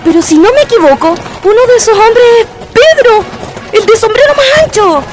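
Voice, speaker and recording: Bossy, upbeat Chilean girl pal for radio drama, tiny high voice, music behind. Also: lively intonation, moderate pace. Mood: excited. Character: perky, bossy, encouraging young Chilean girlfriend. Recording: music under the voice.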